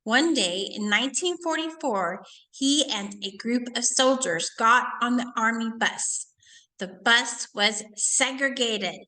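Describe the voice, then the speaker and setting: A woman's voice: a little high, animated, takes it slowly, bright, forceful tone, rough recording. A woman teacher reading aloud to children, stopping to ask questions and explain things in a didactic way. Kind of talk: read-aloud lesson for children with asides and questions.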